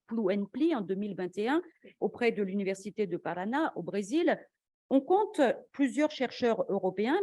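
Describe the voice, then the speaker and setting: A woman academic giving a formal conference lecture in a measured, argumentative way, as an invited speaker. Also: a little high, mellow, no echo.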